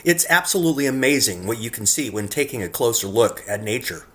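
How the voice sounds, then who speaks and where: A man's voice: mid-range voice, expressive, brisk, pushed and bright. A man reading scripted narration in an earnest way, speaking directly to the viewer as he presents his work. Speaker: narrator presenting own photographs.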